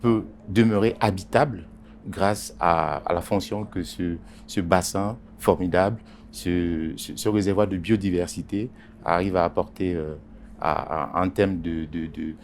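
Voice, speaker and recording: A man, a journalist, answering interview questions in a halting way with many pauses and repeated words. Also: low-pitched, fairly even intonation, a little hiss or hum. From Congo Basin. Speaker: journalist and editorial writer.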